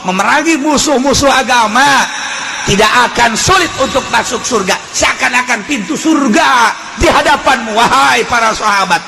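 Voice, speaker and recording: High-pitched Sundanese-accented male preacher. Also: vocal fry, noisy background. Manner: animated, shifting between chanting, shouting and folksy asides. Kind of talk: fiery religious sermon with storytelling.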